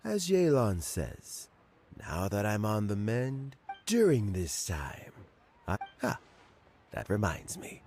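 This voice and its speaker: A man playing a shrewd, dignified old city official in a video game, speaking in a measured, formal and deliberate way. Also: on the low side, huge pitch swings, darkish tone, soft background music, exaggerated voice. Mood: measured, reflective. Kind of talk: scripted video game dialogue.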